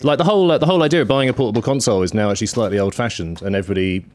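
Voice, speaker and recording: Wry man, low voice. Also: racing, a little mellow. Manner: wry, self-deprecating humour. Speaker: podcast co-host. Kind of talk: casual tech podcast chat.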